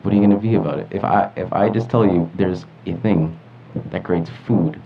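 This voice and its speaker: A man's voice: deep voice, fairly quick, creaky. A young American man chatting casually as a podcast panelist. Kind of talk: casual chat. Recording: dull audio with faint room noise.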